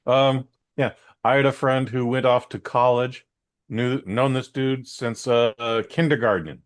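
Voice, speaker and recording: A man's voice: medium pitch, fairly even intonation, measured pace. An American man co-hosting a podcast, telling rambling, easygoing stories full of fillers. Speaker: podcast co-host. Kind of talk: casual podcast chat.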